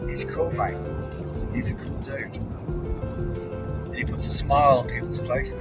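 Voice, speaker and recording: A man with a New Zealand accent talks in a loose, rambling way from inside a moving car. He is blunt and sweary, and his voice sits in a middling range. He is a little off the mic, and loud music plays clearly behind him. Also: mid-range voice, slow pace, far from the mic. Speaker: vlogger. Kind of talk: rambling driving vlog chat.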